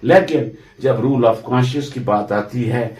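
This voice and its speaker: A man giving an explanatory law lecture, emphatic and deliberate, restating his points as he goes. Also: medium pitch, darkish tone, echoey room. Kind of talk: explanatory lecture mixing Urdu with English legal terms.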